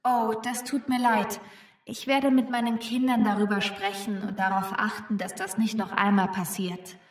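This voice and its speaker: A woman's voice: mid-range voice, level tone, moderate pace, lightly acted. A woman playing an apologetic, accommodating mother and neighbour in a scripted lesson dialogue, recorded roomy and distant. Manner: polite, apologetic. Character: apologetic, accommodating mother living next door.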